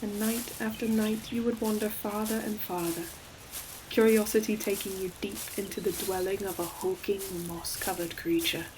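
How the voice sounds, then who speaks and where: A woman voicing an ancient, gentle forest demon in a scripted audio roleplay, speaking tenderly in archaic storybook phrasing. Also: mid-range voice, steady tone, moderate pace, natural voice. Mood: nostalgic, tender. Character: ancient gentle forest demon, hulking moss-covered creature. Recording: clear forest ambience noise behind voice. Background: forest nature sound effects with animals.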